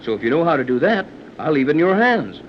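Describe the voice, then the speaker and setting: A friendly sea captain talks fast in a fairly high, swooping voice. He is practical and folksy, in an old adventure serial with a muffled radio sound. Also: very animated. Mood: affable. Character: affable adult sea captain, practical, easily amazed. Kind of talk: 1930s radio serial acting. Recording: noisy, dull 1930s radio recording.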